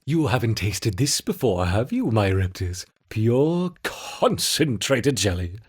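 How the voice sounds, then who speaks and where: A man playing a gleeful, obsessive mad scientist in a video game, with a husky voice and a theatrical, coaxing, menacing delivery. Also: fairly low pitch, very animated, takes it slowly, exaggerated voice. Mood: mocking menace. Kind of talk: video game character monologue.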